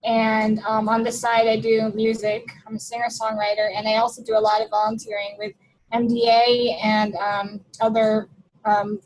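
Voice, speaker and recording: A woman's voice: a little high, little pitch movement, moderate pace, low-quality recording. A woman in her thirties from Texas, introducing herself in a friendly, matter-of-fact way. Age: 30s. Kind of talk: self-introduction.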